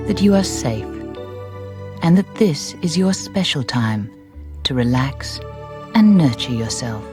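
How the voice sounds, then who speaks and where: Slow, breathy low-voiced woman guiding relaxation. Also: animated. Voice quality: soft, breathy. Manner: soothing, deliberate, gentle phrasing with long pauses. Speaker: relaxation guide. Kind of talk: guided meditation narration. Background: music.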